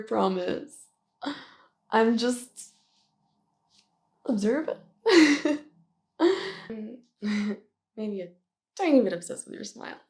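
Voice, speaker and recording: Woman as shy smitten coworker, young, nervous, rambling, very slow delivery, fry-laced voice, intimate ASMR roleplay. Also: on the high side, a little mellow, heavily acted. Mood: embarrassed, giggly. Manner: nervous, halting, rambling, giggly, self-deprecating. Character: shy, flustered young woman office worker confessing a crush.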